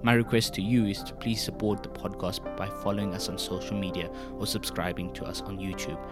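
A man hosting a podcast, reading a scripted intro and then asking questions in an effusive, flattering way with some hesitations. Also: on the low side, brisk, music in the background. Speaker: podcast host.

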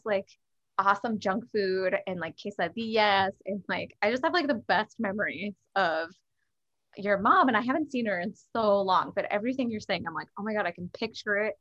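Bright-voiced woman cohosting a podcast, eager, laughs a lot, slightly muffled. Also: mid-range voice. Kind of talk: casual podcast chat.

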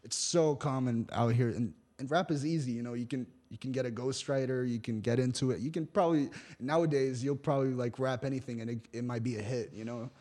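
A man's voice: mid-range voice, brisk, few pauses, a little mellow. Easygoing man from Lebanon. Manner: easygoing, lots of "like" and "you know". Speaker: guest, rapper. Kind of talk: casual podcast chat.

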